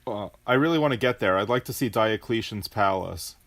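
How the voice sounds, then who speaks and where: A man chatting casually as a podcast guest, halting and curious. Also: on the low side, lively intonation, a bit bright. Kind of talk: casual chat.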